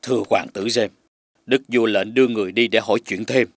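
A man playing a loyal, conscientious royal guard in an animated fairy tale, deferential and solemn. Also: on the low side, brisk, no echo, studio quality. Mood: deferential, uneasy.

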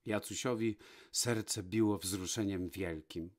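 Male storyteller, lowish pitch, scripted fairy-tale narration. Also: slow pace.

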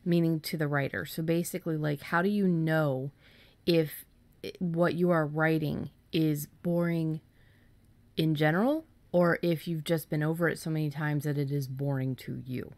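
A woman with a low voice chats casually as a podcast co-host. She is informal and rambles a little, and the sound is close and dry. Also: fairly even intonation, normal speed, darkish tone, dry recording. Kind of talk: casual chat.

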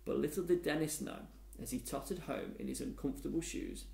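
Guy in his 30s reading a story to kids, bit of fry in the voice, roomy sound. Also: mid-range voice, very animated, a little fast, a little mellow. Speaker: primary school teacher reading to pupils. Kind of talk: children's storybook read aloud. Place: classroom, indoors. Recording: echoey room.

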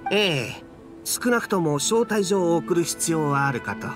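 Courteous household attendant, game drama, slightly high voice, lively intonation, formal. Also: male voice, a little slow, music in the background. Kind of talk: Japanese game voice acting.

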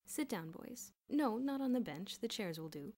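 Stern storybook headmaster, played by a woman: formal, measured, authoritative, with dry sarcasm. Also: mid-range voice, animated, a little mellow, close to an everyday voice.

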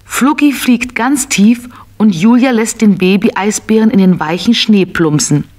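A woman narrating a scripted children's tale in an expressive, simple storytelling manner. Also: mid-range voice, unhurried, close to an everyday voice.

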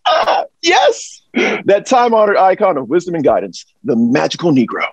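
Man playing a husky, animated slick pitchman. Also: normal speed, no echo, exaggerated voice, low-quality recording. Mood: delighted, reverent. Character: slick upbeat pitchman selling a satirical friendship service. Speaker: actor in a table read. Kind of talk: theatrical sales pitch. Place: small indoor storeroom, video call.

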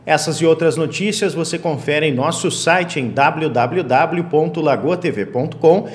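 A young man anchors a small local TV news show. His voice sits a little high and his delivery is steady and formal, recorded on a lapel mic in a small studio. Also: normal speed. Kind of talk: read local news bulletin.

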